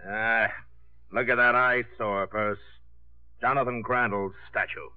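Actor as sour small-town newspaper editor. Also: male voice, fairly low pitch, fairly even intonation, measured pace, smooth, dry recording, faint music behind, natural voice. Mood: disgusted. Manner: sour, blunt. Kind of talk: 1950s radio comedy acting. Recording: old radio recording, thin phone-like sound.

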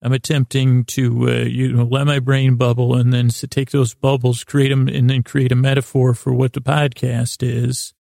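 Male podcast host for rambling bedtime monologues: heavy vocal fry, relaxed tone, halting, soothing delivery. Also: medium pitch, no room sound, studio quality.

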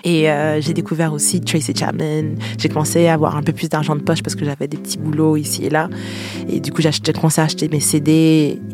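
A woman's voice: low voice, monotone, fast talker, music in the background. A Québécois French woman in her thirties, a singer-songwriter casually recounting memories, chatty and laughing often. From Quebec, Canada.

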